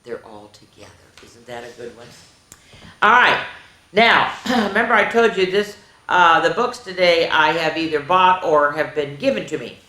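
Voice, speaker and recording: Female storytime reader for small children, highly animated and sing-song, addressing kids directly, recorded in a roomy, echoing space. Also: normal speed. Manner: expressive, exaggerated, sing-song with sound effects; chatty asides to young listeners. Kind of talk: animated read-aloud to young children. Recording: echoey room.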